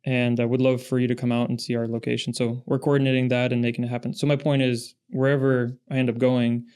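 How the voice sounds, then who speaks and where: Smooth, steady-voiced man in his thirties. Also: mid-range voice. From born in Russia, raised in the US Pacific Northwest. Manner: measured, steady storyteller. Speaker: podcast guest, industry founder. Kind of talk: casual interview chat.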